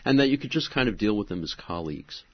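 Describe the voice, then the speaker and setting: Lowish husky guy interviewing, calm measured questions, dry sound. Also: no echo, dull audio.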